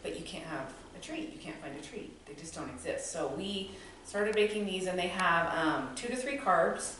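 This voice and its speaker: A woman's voice: lowish voice, fairly bright tone. An American woman from Utah chatting casually as an interview guest. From Utah, United States. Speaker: interview guest, baker and business co-owner. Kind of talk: casual chat. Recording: very echoey room, distant mic, noisy.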